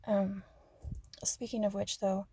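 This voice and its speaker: Soft-spoken woman with a gravelly, husky hush. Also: medium pitch, little pitch movement, slow pace, no echo. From Arizona, USA. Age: adult. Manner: soft, half-whispered, self-deprecating, giggly. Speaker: ASMR creator. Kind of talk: soft-spoken rambling ASMR chat.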